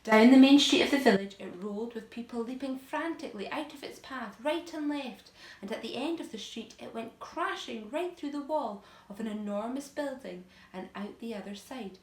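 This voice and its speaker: Higher-voiced woman reading a children's story, echoey. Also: moderate pace, a little mellow. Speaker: teacher reading a story aloud to pupils. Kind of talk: expressive children's storybook narration.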